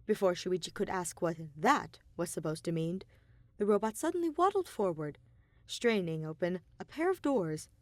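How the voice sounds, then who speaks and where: A woman reading scripted fiction as an audiobook narrator, with expressive, deliberate storytelling. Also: fairly low pitch, dry recording. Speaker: podfic narrator.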